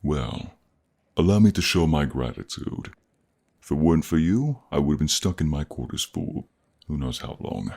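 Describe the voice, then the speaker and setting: A man playing a proud, boastful Norse thunder god with a gruff, husky voice in a fantasy audio roleplay. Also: rumbling low, very animated, moderate pace, darkish tone, exaggerated voice. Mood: hearty. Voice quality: gravelly, husky. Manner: boastful, pompous, easily provoked.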